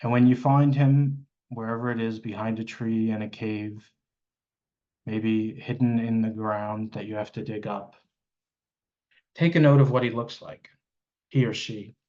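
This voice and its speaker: A man with a fairly low voice leads a guided meditation on a live stream. He feels his way through the instructions, often pausing mid-phrase before carrying on. The sound is slightly muffled and lo-fi. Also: fairly even intonation.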